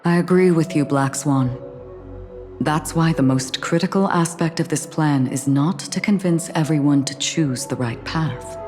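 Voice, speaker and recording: Low-voiced, determined leader. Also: female voice, measured pace. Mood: resolute. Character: determined, principled leader woman. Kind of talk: video game voice acting. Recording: clear music underneath.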